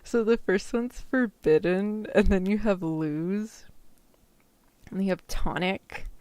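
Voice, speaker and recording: A woman chatting casually as a livestreamer, with a creaky voice and a loose, filler-heavy way of talking, close on the microphone. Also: mid-range voice, slow pace, darkish tone, no echo. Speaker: VTuber streamer practicing ASMR roleplay. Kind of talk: casual livestream chat, roleplay script practice.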